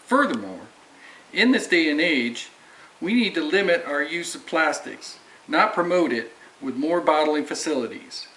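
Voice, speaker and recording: A man's voice: a little high, sing-song, a little slow, bright, forceful tone, full character voice, rough recording. A man playing a skeptical, indignant environmental campaigner in a scripted amateur puppet show.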